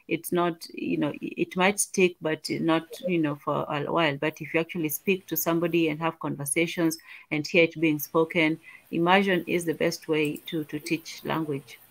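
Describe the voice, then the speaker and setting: A woman on a video call speaking in a measured, thoughtful way, often repeating words while she finds her thought. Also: low-pitched, creaky, poor audio. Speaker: discussion panelist. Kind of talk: thoughtful conversational commentary.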